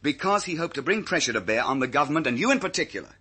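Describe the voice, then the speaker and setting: A man's voice: on the high side, sing-song, rapid-fire, sharp tone, breathy edge, full character voice. A man acting a brash, blunt British security agent in an old-time radio thriller, with clipped, sardonic, slangy speech. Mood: pressing, forceful.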